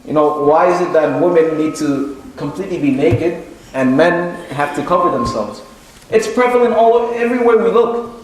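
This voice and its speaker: Echoey, higher-voiced man delivering a religious lecture. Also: animated. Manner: halting explanations with deliberate, emphatic repetition. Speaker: Islamic teacher giving a religious lecture. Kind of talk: sermon-like lecture retelling and explaining a religious story. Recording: very echoey room with faint noise.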